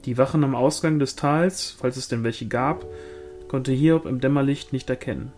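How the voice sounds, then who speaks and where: A man's voice: medium pitch, normal speed, a little mellow, soft background music. A man reading a fantasy novel aloud as scripted audiobook narration. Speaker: audiobook reader.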